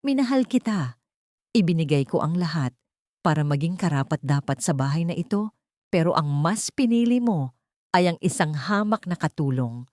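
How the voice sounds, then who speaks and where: A woman voicing a jealous, unhinged, bitter female villain in an audio drama, shrill and accusing. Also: animated, normal speed, darkish tone, no room sound, clean studio sound. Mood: hurt, scornful. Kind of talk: Tagalog audio drama acting.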